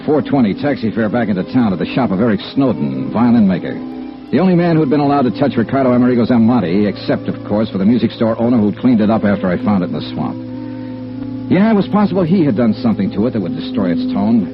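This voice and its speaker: An actor playing a wry, dogged insurance investigator in clipped, casual 1950s radio noir style. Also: male voice, on the low side. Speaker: lead actor and narrator of a radio detective series. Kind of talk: 1950s radio noir acting and first-person narration. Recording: muffled vintage radio recording with music.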